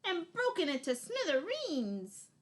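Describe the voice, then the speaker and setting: A tiny, upset baby bear from a storybook, voiced by a woman. The voice is squeaky high and swoops about in excitable wails. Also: measured pace, full character voice. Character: small upset young male baby bear.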